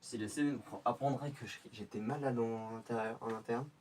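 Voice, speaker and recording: A man's voice: medium pitch, fairly even intonation, moderate pace, darkish tone, far from the mic, a little hiss or hum, close to an everyday voice. A man playing an undercover infiltrator who mutters his plans to himself. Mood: pensive. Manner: muttering to himself, thinking aloud. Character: male undercover infiltrator planning his cover. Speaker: infiltrator character. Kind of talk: scripted in-character monologue.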